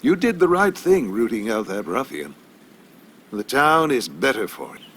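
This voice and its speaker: An actor playing a formal, self-important small-town gentleman in a video game, genteel and old-fashioned in manner. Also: medium pitch, sing-song, normal speed, breathy edge. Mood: smoothly polite. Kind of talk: video game character acting.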